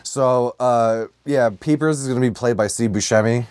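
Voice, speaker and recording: A man's voice: mid-range voice, moderate pace, darkish tone, clean tone, no echo. A man co-hosting a podcast in casual comedy chat, talking in crude, jokey, profane slang. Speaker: podcast co-host.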